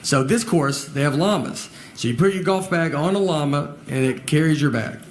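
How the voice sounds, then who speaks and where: A man in his forties doing deadpan stand-up comedy, telling slow stories with acted-out dialogue on stage. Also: a little high, slight background noise. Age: 40s. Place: stage before a live audience. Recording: loud audience laughter behind.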